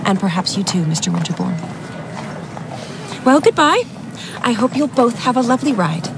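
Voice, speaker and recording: Breezy, defiant high-voiced young lady. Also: big pitch swings, normal speed, vocal fry, over music. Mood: breezy scorn. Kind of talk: period radio drama acting. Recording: noisy street ambience behind.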